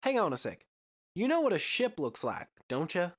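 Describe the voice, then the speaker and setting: A woman's voice: on the low side, big pitch swings, moderate pace. A woman voicing a cocky, chatty, folksy male ace pilot in a space audio drama. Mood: breezy. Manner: breezy, boastful, folksy.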